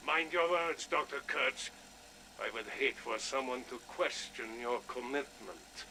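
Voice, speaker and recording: A man playing a cold, fanatical Nazi German scientist who speaks in stern, formal, measured threats in a game. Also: mid-range voice, bright, forceful tone, a little hiss or hum. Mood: menacing. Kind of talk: dramatic game audio log dialogue.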